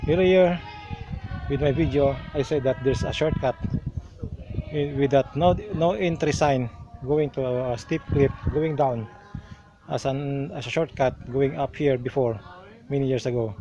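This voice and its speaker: A Filipino man vlogging outdoors on a hilltop, explaining the sights in an unhurried way, sometimes restarting his words. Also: medium pitch, warm tone, highs cut off, a little hiss or hum. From Philippines. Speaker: travel vlogger. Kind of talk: outdoor travel vlog narration.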